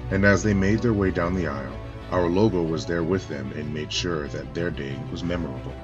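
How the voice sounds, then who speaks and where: A man's voice: deep voice, brisk, muffled recording, music in the background. A man narrating a solemn, scripted church tribute video in a ceremonial manner. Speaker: church spokesman.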